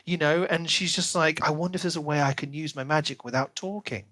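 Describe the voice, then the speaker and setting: Higher-voiced man, casual and emphatic. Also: fairly quick, sharp tone, dry recording. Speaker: podcast co-host reviewing books. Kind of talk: casual book discussion.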